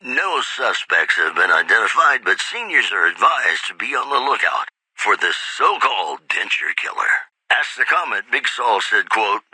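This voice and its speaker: Suave, bright-voiced retro radio host. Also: male voice, lowish voice, big pitch swings, no room sound, full character voice, rough recording. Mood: suave newsreading. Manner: smooth-talking, intimate, theatrical pauses and emphasis. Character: suave romantic male lounge-style radio host, flirty and sincere. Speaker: radio DJ and newsreader. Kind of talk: retro radio DJ patter and news bulletins.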